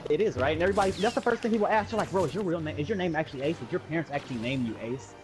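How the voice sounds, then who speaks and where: A man's voice: fairly high pitch, rapid-fire, music in the background. An American man casually telling a personal story, acting out dialogue in slangy, sweary speech. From Muskegon, Michigan, USA. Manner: animated retelling with acted-out dialogue and slangy swearing.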